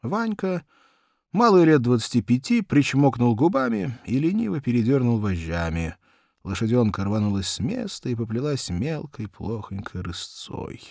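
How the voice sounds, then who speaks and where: A man's voice: medium pitch, very animated, normal speed, gravelly, a little mellow, no room sound. Man narrating a story, very dry sound. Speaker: audiobook narrator. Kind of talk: scripted literary narration.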